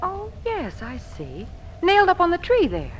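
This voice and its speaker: An actress playing a sophisticated, witty companion to an adventurer, bantering playfully in 1940s radio style on a noisy recording. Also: female voice, very high-pitched, huge pitch swings, moderate pace, exaggerated voice.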